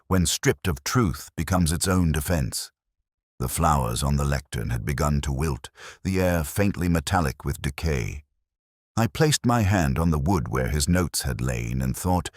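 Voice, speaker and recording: An actor playing a weary, melancholy middle-aged detective, narrating a scripted mystery in a measured, literary, sombre way. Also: male voice, a bass voice, dry recording, clean studio sound.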